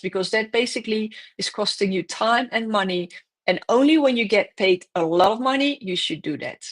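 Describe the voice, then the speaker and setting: A woman giving direct, emphatic business advice in a solo talk, correcting herself now and then and losing her voice. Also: mid-range voice. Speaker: host, business coach.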